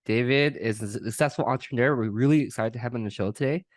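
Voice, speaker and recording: A man's voice: mid-range voice, fast, dry recording, studio quality. A man hosting a podcast, asking questions casually with a lot of "like" fillers. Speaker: podcast host.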